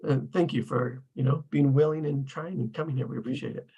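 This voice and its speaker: A man's voice: mid-range voice, steady tone, fast talker, creaky, mellow, slightly rough, rough recording. A man hosting an online table read, casually introducing participants and thanking them.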